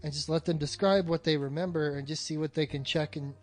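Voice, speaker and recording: A man's voice: fairly high pitch, fairly even intonation, quick pace, soft background music. A man talking casually and emphatically about films as a podcast co-host, opinionated and swearing freely. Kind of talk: casual film discussion chat.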